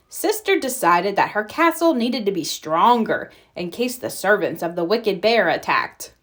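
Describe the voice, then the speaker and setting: A woman reads a children's storybook aloud. Her voice sits at a medium pitch, and she narrates as a storyteller would for young listeners. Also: a bit bright. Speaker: storybook reader.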